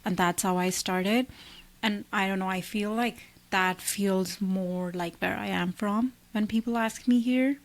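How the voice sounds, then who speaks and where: A woman's voice: medium pitch, fairly even intonation, measured pace. A woman in her twenties from India, co-hosting a podcast in casual chat, thinking aloud with many likes and restarts. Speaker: podcast co-host.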